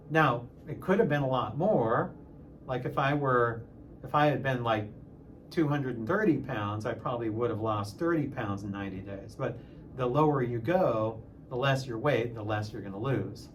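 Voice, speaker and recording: Man aged sixty, medium pitch, casual vlog monologue, emphatic repetitions, faint background noise. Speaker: music teacher and channel host.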